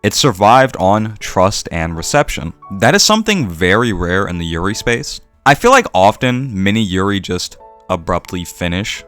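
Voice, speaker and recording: A man's voice: lowish voice, sing-song, moderate pace, fairly bright tone, faint music behind. A man hosting and narrating a scripted video essay.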